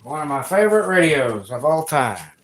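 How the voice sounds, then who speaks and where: A man's voice: on the high side, sing-song, normal speed. A man chatting casually to viewers as he hosts a hobbyist radio livestream. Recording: clearly noisy live stream with clicks.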